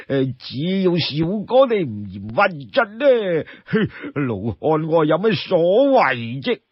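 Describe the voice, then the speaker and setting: Man voicing a slow-talking, husky old Cantonese townsman. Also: a little high, very animated, no echo, muffled recording, exaggerated voice. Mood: obliging, eager. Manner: drawn-out speech full of interjections and chuckles. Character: old townsman, easygoing, money-minded, chatty and boastful.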